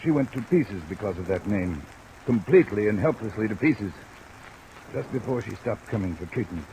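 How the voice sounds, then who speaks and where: A man's voice: fairly low pitch, animated, normal speed, dark tone, close to an everyday voice. An actor playing a formal, defensive American doctor guarding his reputation in a 1950s radio drama. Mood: troubled. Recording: noisy old radio recording under rain.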